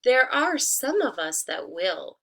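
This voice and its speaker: A woman voicing a sly wolf posing as a talking apple tree in a children's storybook, with a slow, coaxing, emphatic delivery. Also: sits high, big pitch swings, a bit bright, pitched above the performer's own voice, heavily acted. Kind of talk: children's storybook read-aloud.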